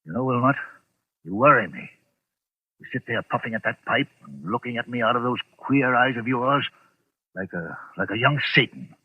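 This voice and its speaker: A man's voice: mid-range voice, animated, normal speed, husky, narrow, tinny audio. An actor playing a desperate, haunted wealthy man in an agitated, stammering, insistent manner, in the style of vintage radio suspense. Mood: suspicious. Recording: crackly old radio drama, boxy room echo.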